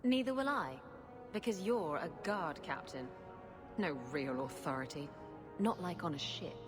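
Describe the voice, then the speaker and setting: Flirty female pirate captain for a game, swooping delivery, long reverb over loud music. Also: lowish voice, expressive, unhurried. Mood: teasing. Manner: sultry, teasing. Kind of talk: video game voice acting.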